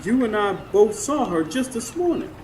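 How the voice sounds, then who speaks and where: Lively, skeptical Southern friend. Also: male voice, high-pitched, moderate pace. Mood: skeptical, sassy. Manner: wry, exclamatory, teasing. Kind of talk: radio drama acting. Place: stage before a live studio audience. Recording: live radio show with background noise.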